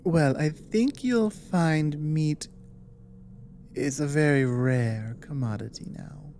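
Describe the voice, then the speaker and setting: A woman playing a weary, earnest dragon ally with magic in an ASMR audio roleplay, speaking in a measured, halting way. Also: deep voice, warm tone, a little hiss or hum. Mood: earnest, reassuring.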